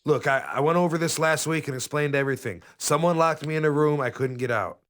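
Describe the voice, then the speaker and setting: An actor playing a young wrestler buddy in a game cutscene, casual, earnest and slangy. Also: male voice, fairly high pitch, a little fast, a bit bright, lightly acted. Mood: defensive. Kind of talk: video game cutscene acting.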